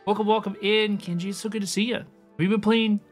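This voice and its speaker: A woman's voice: medium pitch, fairly even intonation, fast, soft background music. A woman streaming a game, chatting casually and slangily with viewers and often repeating her phrases. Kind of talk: casual livestream chat over gameplay.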